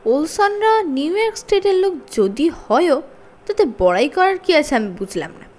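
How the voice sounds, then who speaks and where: A woman's voice: high voice, sing-song, moderate pace, darkish tone, pitched above the performer's own voice. A woman voicing a sensible, practical frontier father in a children's story, in a plain, reasoning manner. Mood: reassuring.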